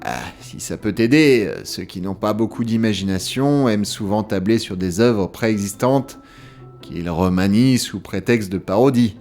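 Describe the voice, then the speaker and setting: A man's voice: fairly low pitch, lively intonation, moderate pace, darkish tone, faint music behind. A man playing a reassuring, drily teasing veteran employee showing a newcomer around, in a French audio comedy. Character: wry, reassuring male office guide, patient veteran employee.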